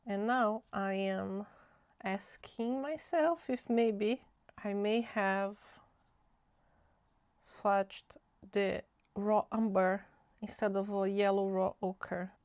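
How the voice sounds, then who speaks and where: Woman, nasal from a cold, gravelly fry, dry close sound, chatty tutorial host. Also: medium pitch, steady tone, darkish tone, dry recording. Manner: unhurried, chatty, thinking aloud. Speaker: art channel host. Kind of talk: casual watercolor swatching tutorial.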